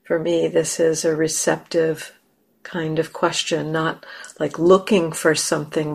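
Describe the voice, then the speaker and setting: A woman leading a guided meditation as a teacher, gentle and unhurried, leaving long pauses. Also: low voice, vocal fry.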